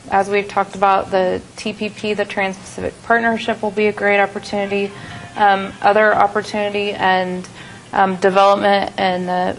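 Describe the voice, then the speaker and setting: An American woman giving a businesslike conference talk, often pausing on "um". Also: mid-range voice, a little hiss or hum, poor audio. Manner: businesslike, many "um" fillers. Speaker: trade presenter. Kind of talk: conference presentation. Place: conference hall at a trade expo.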